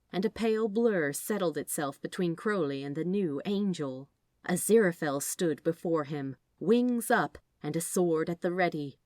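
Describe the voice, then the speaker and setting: Woman, story narrator, slightly low voice, scripted reading, dry sound. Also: darkish tone, no room sound. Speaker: fan fiction narrator.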